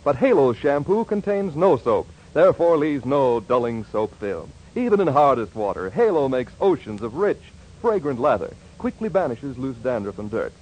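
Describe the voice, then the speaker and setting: A man's voice: mid-range voice, sing-song, normal speed, hardly any pauses, a little mellow, slightly rough, rough recording. A man reading a scripted advertisement as a commercial announcer on an old 1940s radio broadcast.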